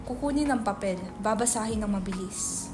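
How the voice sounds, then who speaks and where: A woman's voice: medium pitch, normal speed. A woman reading stage directions as the scripted narrator of a play. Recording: clear background music under voice.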